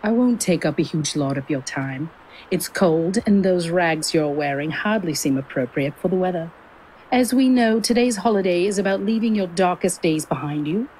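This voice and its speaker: A gracious queen of an audio drama , played by a woman, speaks in a low register with measured formality. She is composed as she addresses her subjects. There is clear noise and ambience behind her. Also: animated, darkish tone, lightly acted, low-quality recording.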